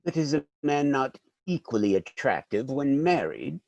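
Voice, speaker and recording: A man's voice: mid-range voice, big pitch swings, moderate pace, gravelly, darkish tone, dry recording, dull audio. A man playing a pedantic, mild, middle-aged clergyman in a dramatic reading of a stage comedy, speaking in pompous phrases.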